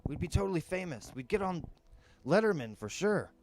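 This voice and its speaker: A man voicing an excitable, wisecracking teenage boy in an audiobook, with animated, slangy delivery. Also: sits high, a little fast, pitched above the performer's own voice. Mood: excited urging. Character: excitable, wisecracking teenage boy, money-minded know-it-all.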